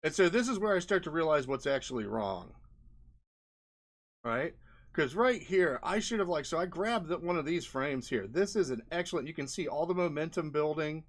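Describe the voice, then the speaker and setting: A man's voice: fairly high pitch, lively intonation, normal speed. Casual, rambling man commentating. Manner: rambling, frequent "like" and "right?" tags. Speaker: indie game developer presenting a video. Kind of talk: casual, unscripted screen commentary.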